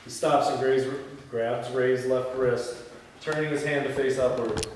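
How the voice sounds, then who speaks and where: A man's voice: mid-range voice, steady tone, a little slow, a little mellow. A man at a film table read, reading out screenplay directions and talking casually with the crew. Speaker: stage-direction reader and film crew member. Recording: echoey hall, distant mic, faint noise.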